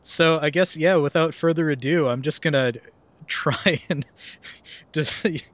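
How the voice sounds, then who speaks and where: A man's voice: on the high side, measured pace, fairly bright tone, muffled recording, slight background noise. A young man hosting a podcast, chatting casually and reading a summary aloud with sarcastic asides, often laughing mid-sentence. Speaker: podcast host.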